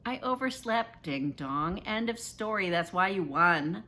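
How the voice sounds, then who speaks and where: A sardonic young girl from a children's storybook, played by a woman reading aloud. She is wry and competitive, teasing drily in a bright voice. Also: medium pitch, lively intonation, a little slow, pitched below the performer's own voice. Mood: dry teasing. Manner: dry, teasing.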